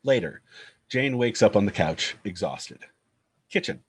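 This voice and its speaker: A man's voice: lowish voice, sing-song, unhurried, slightly rough. A man narrating screenplay stage directions at a table read.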